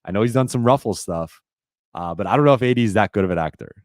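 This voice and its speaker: A man hosting a live-stream Q&A, talking casually and rambling with lots of fillers and restarts, his voice a little raspy. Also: on the low side, fast talker, darkish tone, dry recording, studio quality. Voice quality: slightly raspy voice.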